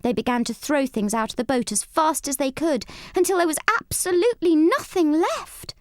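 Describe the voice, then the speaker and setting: A woman narrating a children's storybook read-along in an expressive, scripted style. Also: high-pitched, moderate pace, no echo, clean studio sound.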